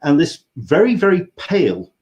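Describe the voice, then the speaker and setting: A man's voice: fairly high pitch, very animated, moderate pace, highs cut off. A man with an English accent hosting a casual interview, telling anecdotes in a rambling, conversational way. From England. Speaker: host interviewer. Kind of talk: casual livestream interview chat.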